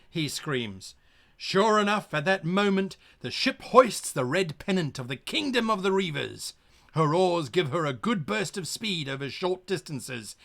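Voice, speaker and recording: A man narrating a choose-your-own-adventure as game master on a live stream. His voice sits a bit high and swings widely, with a bright, gravelly edge. He breaks off into playful asides and pirate growls.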